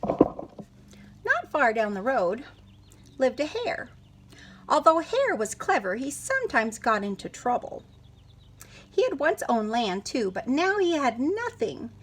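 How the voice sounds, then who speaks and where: A woman reading a picture book aloud to children in an animated, teacherly way, pausing to question and explain to her listeners. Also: high voice, slightly rough.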